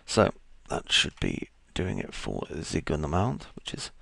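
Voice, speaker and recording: A man with a croaky voice, casually talking through each step of a 3D modeling tutorial as he works. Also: a bass voice, wildly swooping pitch, measured pace, fairly bright tone. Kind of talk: screen-recorded software tutorial.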